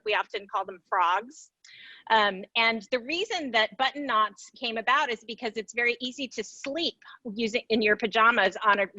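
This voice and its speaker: A woman's voice: a little high, pushed and bright, no echo, muffled recording. A woman artist on a video call, explaining her knot craft with enthusiasm and frequent laughs. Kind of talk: casual explanatory talk.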